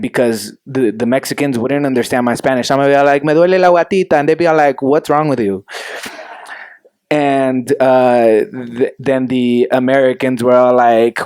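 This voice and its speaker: A young man from Chile who grew up in California, telling his story on a panel in a casual, animated, self-deprecating way. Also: medium pitch, moderate pace, no room sound, clean studio sound.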